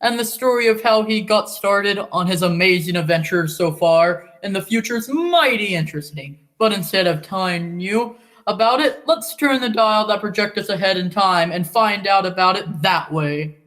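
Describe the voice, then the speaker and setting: A woman's voice: medium pitch, normal speed, a bit bright, close to an everyday voice, rough recording. A woman playing an enthusiastic old-time radio serial announcer, showy and storytelling in delivery. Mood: enthusiastic, inviting.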